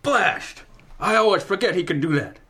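A man's voice: high voice, expressive, moderate pace, pushed and bright, slightly rough, exaggerated voice. A man playing a blustering, fatherly army general in a comic radio play, commanding and folksy.

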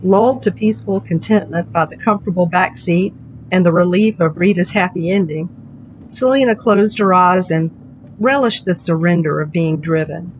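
A woman's voice: fairly low pitch, moderate pace. A woman writer reading her own short story as scripted literary narration. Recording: dull lo-fi audio, background noise.

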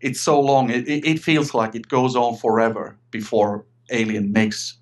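Finnish guy in his middle years chatting as a guest, keeps repeating words, mid pitch. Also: fairly even intonation, normal speed. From Finland. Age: middle-aged. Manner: eager, repeats words, many restarts. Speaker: guest, writer and filmmaker. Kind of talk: casual interview chat.

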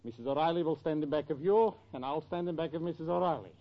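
Actor as droll professor, higher-pitched man. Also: quick pace, natural voice. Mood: reassuring, droll. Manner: deadpan wisecracking. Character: droll middle-aged European professor, mock-gallant needler. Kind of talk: 1940s radio sitcom acting. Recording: dull, very noisy vintage radio recording.

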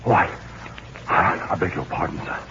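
A man's voice: low voice, measured pace, creaky, sharp tone, exaggerated voice. An actor playing a nervous, curtly commanding nobleman, snapping out urgent orders in 1940s radio comedy style. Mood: anxious, stern. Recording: noisy, dull 1940s radio broadcast.

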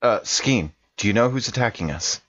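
A man's voice: fairly low pitch, lively intonation, normal speed, fairly bright tone, husky. A man playing a tabletop roleplaying game, speaking casually in character on slightly muffled audio.

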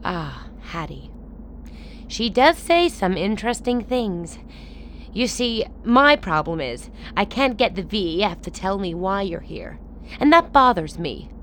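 A woman's voice: medium pitch, very animated, measured pace, a bit bright, slight background noise. A woman playing a powerful political leader in a radio play, wryly amused, probing and self-assured. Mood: probing, cool.

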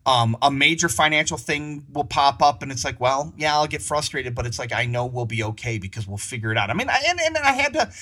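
A man's voice: medium pitch, lively intonation, fairly quick, hardly any pauses, bright, forceful tone. A man hosting a casual interview, talking in a rambling way with many restarts and laughs. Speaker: podcast host.

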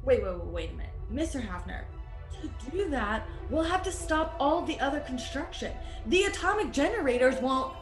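A woman playing a flustered, excitable, plain-spoken robot builder in an old-time radio science-fiction drama. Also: sits high, moderate pace, roomy sound, faint music behind. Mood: worried protesting. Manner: excitable, stammering protests.

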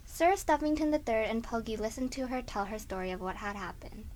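A woman's voice: fairly high pitch, a little fast, darkish tone. A woman reading scripted narration for a children's story as the narrator. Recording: faint background noise.

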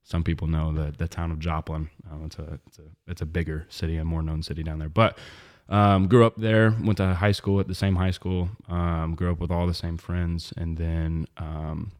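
A young man from Missouri, a guest on a faith podcast, talking in a relaxed, rambling way with lots of fillers and restarts. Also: low voice, moderate pace, hardly any pauses. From southwest Missouri. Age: young adult. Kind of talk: casual faith podcast interview.

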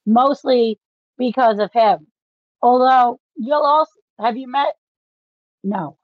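A woman's voice: fairly high pitch, slow, no echo. A woman co-hosting a podcast in a casual book discussion, explaining things like an enthusiastic fan. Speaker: podcast co-host. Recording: slightly dull, dry recording.

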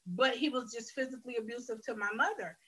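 A woman's voice: a little high, fast, pushed and bright, breathy edge, rough recording. A woman, a guest on a faith interview, telling her life story earnestly and candidly, often restarting her phrases. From Detroit, Michigan, USA. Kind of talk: personal testimony in a faith interview.

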